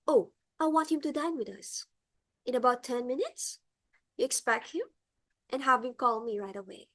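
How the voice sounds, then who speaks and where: Society hostess played by a woman, middle-aged, high voice, determined, fussy, exclamatory stage-play delivery. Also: fairly bright tone, heavily acted. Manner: dramatic, bossy, exclamatory.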